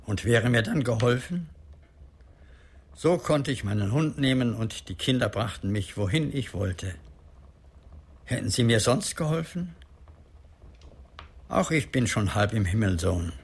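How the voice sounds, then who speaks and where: Husky old medicine man. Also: medium pitch, lively intonation, unhurried, pauses often. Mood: solemn. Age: older. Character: old blind medicine man, gentle and solemn grandfather. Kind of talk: radio drama acting.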